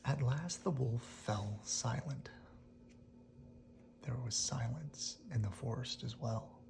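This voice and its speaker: A storyteller reading a picture book aloud in a hushed bedtime style, often dropping to a whisper. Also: medium pitch, slow, gravelly.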